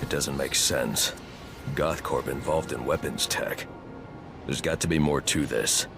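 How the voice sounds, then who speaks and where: A man's voice: a bass voice, steady tone, a little slow, vocal fry, over music, exaggerated voice. A man playing a stern, intimidating vigilante interrogator in a video game, terse and threatening. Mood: stern, suspicious. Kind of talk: video game cutscene acting.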